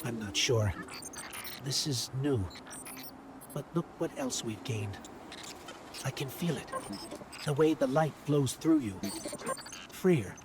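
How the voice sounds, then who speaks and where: An actor playing a small, gentle machine guide in a video game cutscene, speaking earnestly and carefully. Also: male voice, medium pitch, slow pace, pauses often, natural voice. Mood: awed, earnest. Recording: clear ambient noise behind the voice.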